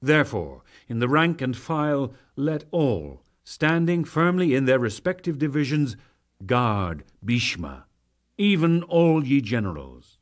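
A man's voice: medium pitch, sing-song, a little slow. A man giving a solemn, declamatory dramatic reading of ancient sacred verse as an audiobook narrator.

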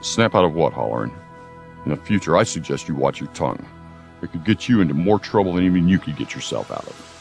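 A man's voice: sits low, sing-song, fast, creaky, soft background music, heavily acted. Severe, commanding male officer actor, unhinged, very swooping intonation, audio drama. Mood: cold, menacing. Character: stern, unstable male lieutenant obsessed with traitors.

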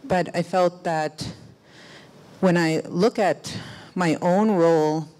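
Female panelist, thirties, low register, reflective conversational delivery on a handheld stage mic. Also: slow pace, a little mellow, low-quality recording. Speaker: panelist, filmmaker. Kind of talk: personal storytelling in a panel discussion. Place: stage of a cinema auditorium. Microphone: handheld microphone held close.

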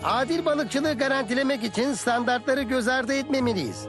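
A wise cartoon mentor with a high-pitched voice. He teaches in a measured, kindly manner, with music clearly playing behind him. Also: lively intonation, fairly bright tone. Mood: approving, advising. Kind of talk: Turkish dubbed educational cartoon.

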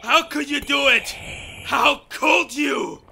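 A man's voice: extremely high pitch, takes it slowly, gravelly, bright, forceful tone, raised voice, a little hiss or hum, exaggerated voice. A man acting a distraught, tearful, vengeful lover in melodramatic retro radio horror. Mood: anguished rage. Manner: melodramatic, impassioned. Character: anguished, vengeful man grieving his beloved.

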